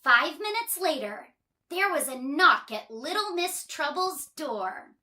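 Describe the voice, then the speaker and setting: A woman reading a children's story aloud in an expressive way, drawing out words for emphasis. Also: sits high, slow.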